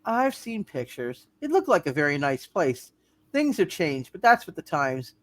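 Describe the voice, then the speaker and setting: Radio play spinster librarian, female actor: wary, prim, lower register, expressive swings in pitch. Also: normal speed, dry recording. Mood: wary but helpful. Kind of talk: hammed-up live radio play reading.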